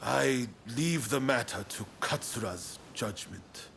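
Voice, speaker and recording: An actor playing a stern, guarded samurai leader in a video game cutscene. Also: male voice, medium pitch, expressive, slow pace, creaky, a bit bright, slight background noise, heavily acted.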